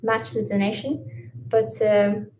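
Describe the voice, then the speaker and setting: A woman's voice: medium pitch, fairly even intonation, slow pace, darkish tone. A woman from Latvia whose first language is Russian, co-hosting a casual livestream and talking with many fillers and restarts. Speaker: livestream co-host. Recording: echoey room.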